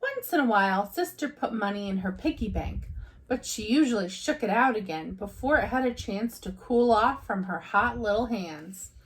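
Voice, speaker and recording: A woman's voice: mid-range voice, lively intonation, normal speed, slight background noise, rough recording. A woman reading a children's storybook aloud as a teacher would, in narration.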